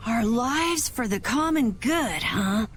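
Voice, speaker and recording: Plucky game kid, high bouncy pitch, eager curiosity, slight noise behind. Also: female voice, lively intonation, slow pace. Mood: curious, eager. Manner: eager, questioning. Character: eager, inquisitive youngster, plucky adventurer. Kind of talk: video game voice acting.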